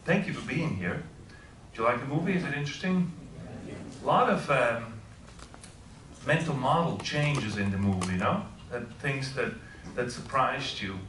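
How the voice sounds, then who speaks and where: A man's voice: medium pitch, lively intonation, normal speed, distant mic, background noise. A middle-aged man from Switzerland, a professor, giving an informal talk and asking the audience questions. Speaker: guest speaker. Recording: echoey room, voice heard from afar.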